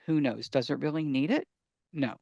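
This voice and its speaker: A man's voice: on the high side, moderate pace, vocal fry, dark tone, dry recording, muffled recording. A man co-hosting a casual podcast, explaining things emphatically and often posing questions that he answers himself. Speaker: podcast co-host.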